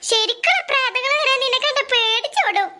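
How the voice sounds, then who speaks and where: Tiny squeaky cartoon girl, super high and bright, giggly little schemer, teasing. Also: expressive, fairly quick, slight background noise, full character voice. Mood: amused, teasing. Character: mischievous scheming young girls, giggly, teasing cartoon kids. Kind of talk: Malayalam comedy cartoon dialogue.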